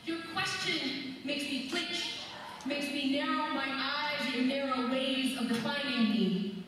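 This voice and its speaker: A woman's voice: high-pitched, takes it slowly, fairly bright tone. A woman from Maryland performing spoken word poetry in a pointed, questioning recitation. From United States (Maryland). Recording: echoey hall, distant mic, music behind.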